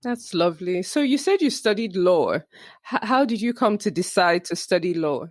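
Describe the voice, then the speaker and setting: A woman's voice: on the low side, animated, normal speed, no echo. A woman hosting a podcast, upbeat and encouraging as she introduces and questions her guest. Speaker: podcast host.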